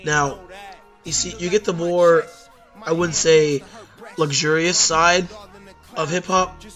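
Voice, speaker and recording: Hoarse young man with a raised voice. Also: on the high side, fairly even intonation, slow, a bit bright, faint music behind. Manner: rambling, many fillers and self-corrections. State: hoarse from losing voice at a concert. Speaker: YouTube music reviewer. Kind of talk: casual spoken album ranking.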